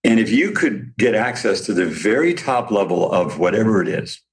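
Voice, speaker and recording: A man with a slightly raspy voice, a podcast guest who owns a supplement business, telling rambling, animated stories in casual conversation. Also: on the low side, moderate pace.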